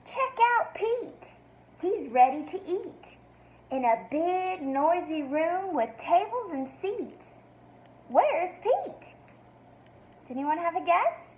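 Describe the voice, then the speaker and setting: A woman reading a picture book to young children like a teacher, expressive and sing-song, with encouraging questions. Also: very high-pitched, slow pace, slight background noise. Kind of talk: read-aloud for young children, asking questions.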